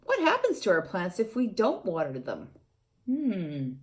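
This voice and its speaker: Woman, storytime reader for small children: unhurried, coaxing, playful, with a lively up-and-down delivery. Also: mid-range voice. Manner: gentle, coaxing, asks the young listeners questions; playful, with sound effects and exclamations. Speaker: teacher reading to children. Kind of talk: reading a picture book aloud to young children.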